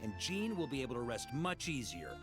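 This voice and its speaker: Male actor as confident knight officer, highly animated pitch, folksy, playfully cajoling, video game character, music behind. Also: fairly quick, natural voice. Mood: upbeat, confident.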